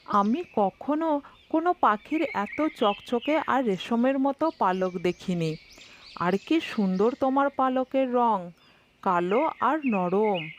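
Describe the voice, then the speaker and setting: A woman voicing a sly, hungry, flattering fox in a children's cartoon story. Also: fairly high pitch, a little hiss or hum. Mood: coaxing flattery. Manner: unhurried, coaxing flattery. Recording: dull lo-fi sound with music behind. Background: music.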